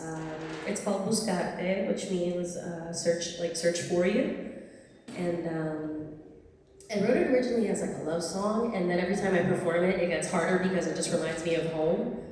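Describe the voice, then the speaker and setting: A woman's voice: on the low side, darkish tone, distant mic, a little hiss or hum. A woman singer from the Dominican Republic, chatting casually in an onstage interview, loose and full of fillers. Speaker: singer and gigging musician. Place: live music venue. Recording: echoey hall heard from afar.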